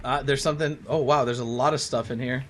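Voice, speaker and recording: A man's voice: medium pitch, fairly even intonation, fast, noisy background. A man in his late thirties chatting casually and in a friendly way with viewers while he plays a game on a livestream, often thinking aloud. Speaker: live streamer playing a game.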